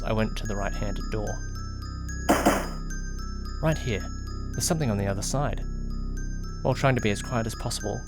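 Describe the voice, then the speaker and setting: A man's voice: fairly low pitch, close to an everyday voice. A man playing a curious, jittery young detective's companion who narrates a mystery audio drama with suspense and questioning asides. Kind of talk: amateur audio drama narration in first person.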